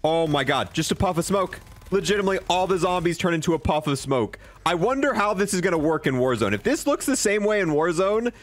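Young gamer guy doing casual review, excited, slangy, voice kinda high and bouncy. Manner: enthusiastic, slangy. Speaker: gaming video creator. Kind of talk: casual game review commentary.

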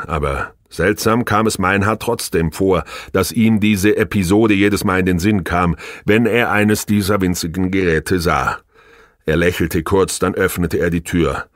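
A man's voice: low-pitched, no echo, studio quality. A man reading a novel aloud as scripted audiobook narration.

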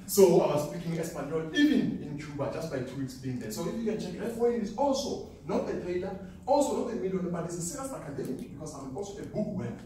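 A man's voice: high-pitched, fast talker, hardly any pauses, far from the mic, slight background noise. Boastful young man speaking in an echoey classroom. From South Africa. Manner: animated, boastful, laughs often. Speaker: forex trading speaker. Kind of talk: live seminar talk to students. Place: classroom with seated audience. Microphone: far camera, no mic.

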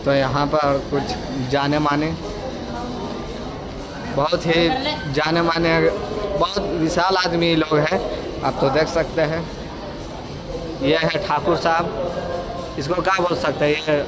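A man's voice: on the high side, fairly even intonation, slow, long pauses. A man in his twenties with a Jharkhand accent, narrating a casual walk-through vlog straight to viewers on a phone held at arm's length. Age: 20s. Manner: addresses viewers directly while walking around. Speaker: vlogger. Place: large indoor mall and cinema lobby. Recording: loud music over phone vlog audio. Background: loud music.

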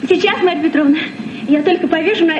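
An actress playing a cheerful, eager young library assistant in an old children's radio play. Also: female voice, very high voice, quick pace, echoey room, distant mic, noisy background. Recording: muffled old radio recording, music behind.

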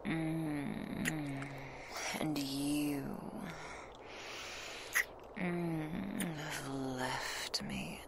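A woman playing a seductive, hungry vampire who is doting yet predatory, in an intimate, breathy whispered ASMR roleplay. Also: lively intonation, heavy background noise, full character voice. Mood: sated, sultry. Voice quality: breathy whisper with creaky low murmurs. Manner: slow, intimate, sultry. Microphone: very close binaural microphone.

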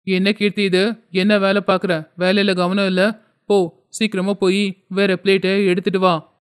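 A man's voice: sits high, flat delivery, a little slow, clear, resonant tone. A man playing a stern, impatient hotel owner in a cartoon story, commanding and scolding. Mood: angry, scolding.

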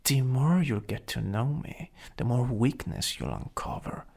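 A man with an Italian accent playing a jealous, flirtatious supervillain in an intimate ASMR roleplay, breathy and often whispering. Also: medium pitch, very animated, a little mellow, exaggerated voice. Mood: coaxing, flirtatious. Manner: slow, teasing, lingering delivery. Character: jealous, possessive, teasing flirtatious male supervillain.

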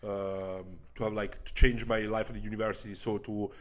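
A man in his thirties with an Italian accent, a native Italian speaker chatting casually in halting English as an interview guest. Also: fairly low pitch, little pitch movement. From Milan, Italy. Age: 30s. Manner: halting, searching for words in imperfect English. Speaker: podcast guest, American football player. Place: small furnished room indoors. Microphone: handheld microphone.

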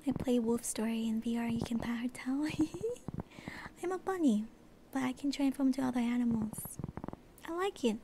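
A young woman streamer with a slight accent, chatting with viewers in a soft, airy ASMR whisper, relaxed and rambling. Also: a little high, normal speed, background noise. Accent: slight non-American accent. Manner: relaxed, rambling, reads chat aloud. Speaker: VTuber streamer.

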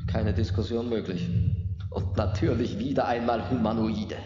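A stern, authoritative starship commander, played by a man in a sci-fi radio play. He speaks emphatically at a medium pitch. The sound is a muffled old cassette with long reverb and prominent music behind. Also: steady tone, moderate pace, natural voice. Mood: stern, indignant. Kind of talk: dramatized science fiction radio play acting.

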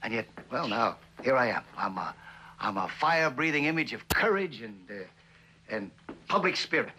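An actor in his forties with an American accent playing a guilt-ridden, bitterly self-mocking husband in a halting, hesitant 1960s television drama style. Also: medium pitch, lively intonation, pushed and bright. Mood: bitter self-mockery. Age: 40s. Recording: noisy vintage television soundtrack.

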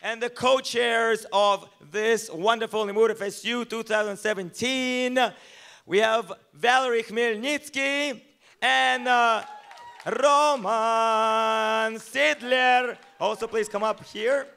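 A man's voice: very high voice, fairly even intonation, takes it slowly, creaky, pushed and bright, clear, resonant tone. A man emceeing a gala, upbeat and joking as he hypes up the crowd and introduces people on a banquet hall stage. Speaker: master of ceremonies. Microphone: handheld microphone.

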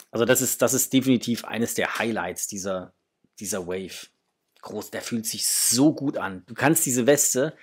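Male, 40s, mid pitch; informal, enthusiastic unboxing host. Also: a little mellow, breathy edge. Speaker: toy review channel host. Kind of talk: casual unboxing and review chat. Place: small home room, indoors.

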